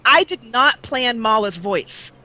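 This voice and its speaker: A woman's voice: fairly high pitch, expressive, takes it slowly, sharp tone. A young woman, a guest on an interview show, chatting casually with lots of fillers and restarts. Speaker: guest, let's player.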